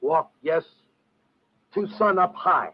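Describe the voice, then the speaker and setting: An actor playing a loyal Middle Eastern helper who speaks terse broken English in an old radio drama. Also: male voice, a little high, expressive, highs cut off, slight background noise. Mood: earnest, helpful. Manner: halting, terse, pidgin phrases.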